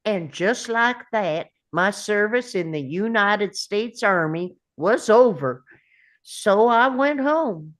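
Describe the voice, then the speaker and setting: An unhurried, folksy Southern character from a film script, played by a woman at a table read. The voice sits at a middle pitch and takes its time, polite and simple in manner. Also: expressive. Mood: polite, plain. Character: Forrest, polite simple Southern man; later his doting Mama.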